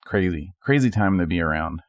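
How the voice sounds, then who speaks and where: Low-voiced male podcast co-host. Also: animated, a little fast, dry recording, highs cut off. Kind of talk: casual podcast chat.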